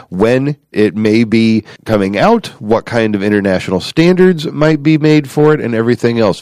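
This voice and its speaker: A man hosting a podcast in a relaxed, chatty way, with filler words and repeats. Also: mid-range voice, no room sound, studio quality. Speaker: podcast host.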